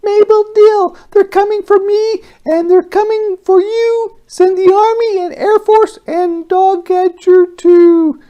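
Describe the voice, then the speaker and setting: A storybook reader voicing a frantic alarm-raiser, yelling in shrill panic. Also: steady tone, slow pace, few pauses, muffled recording. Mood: panicked. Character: frantic alarm-raiser crying out about alien invaders.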